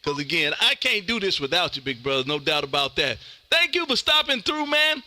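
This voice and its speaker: Bright high-voiced exuberant American man. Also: sing-song, fairly quick. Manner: exuberant, folksy, emphatic. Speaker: ham radio show host. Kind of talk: lively amateur radio on-air chat.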